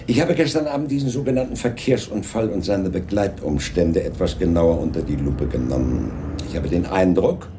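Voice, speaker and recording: A man playing a dry, composed, persistent police inspector in a radio crime play, speaking with measured, polite insistence. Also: deep voice, animated, noisy background. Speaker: actor in a radio crime drama. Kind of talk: 1980s German radio play acting.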